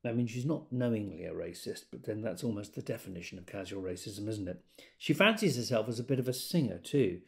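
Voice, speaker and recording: An actor playing a wry, exasperated office worker, confiding and emphatic, in a conversational audio drama monologue. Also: male voice, lowish voice, expressive, a little fast, creaky, a little mellow. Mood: dry, confiding.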